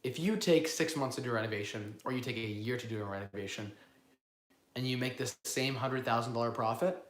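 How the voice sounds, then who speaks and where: Hoarse man on a live stream. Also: mid-range voice, brisk, echoey room. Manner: rambling, self-correcting, repeats words. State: hoarse from a cold. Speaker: host of live investing Q&A. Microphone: phone built-in mic, no lapel.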